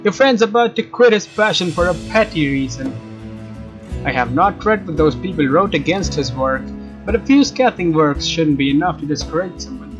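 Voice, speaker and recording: A man narrating a visual novel as a let's player, expressive and informal, with casual asides. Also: on the high side, moderate pace. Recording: dull lo-fi sound, music behind. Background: game music with guitar.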